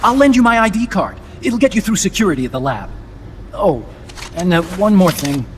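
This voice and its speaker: High-voiced, earnest scientist. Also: male voice, animated, normal speed. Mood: confiding, uneasy. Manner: explanatory, earnest, talkative. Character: earnest, disillusioned male nuclear weapons scientist, unable to walk. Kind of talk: video game voice acting. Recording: loud music behind. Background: music.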